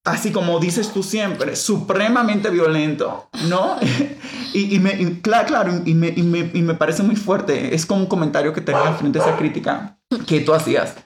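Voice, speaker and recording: A woman from the Dominican Republic with a Dominican accent, hosting a reflective discussion. She thinks out loud, restarts often and leans hard on key words. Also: lowish voice, normal speed, reverberant, a little hiss or hum. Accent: Dominican Spanish. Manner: thinks aloud with frequent restarts and emphatic stress. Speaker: podcast host.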